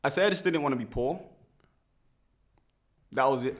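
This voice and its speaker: Young man, mid-pitched and easygoing, thinks aloud with many restarts. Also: normal speed. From Birmingham, England. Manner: relaxed, reflective, many restarts and fillers. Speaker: guest, musician. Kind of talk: casual interview.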